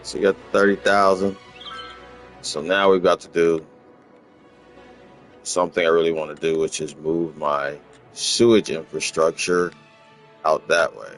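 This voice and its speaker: Super deep voiced dude narrating his game, laid back and rambly, faint music behind. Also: measured pace, pauses often. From Los Angeles, USA. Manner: relaxed, rambling, repeats words, chuckles. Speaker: gaming channel host. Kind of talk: casual gameplay walkthrough commentary.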